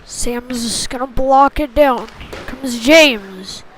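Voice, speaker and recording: High-pitched woman calling hockey, noisy background. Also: slow. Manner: short clipped phrases, excited on big plays. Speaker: play-by-play commentator. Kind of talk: live youth ice hockey commentary.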